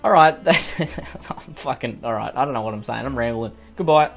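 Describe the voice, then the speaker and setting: A man's voice: mid-range voice, normal speed, vocal fry. An Australian man reads online posts in an ordinary mid-range voice and keeps breaking off into sweary, sarcastic tangents. His talk rambles and circles back on itself as he riffs for laughs. A faint guitar plays behind him. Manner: profane, rambling, sarcastic asides. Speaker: YouTuber reading and reacting to online posts. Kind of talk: comedic commentary on read-out posts.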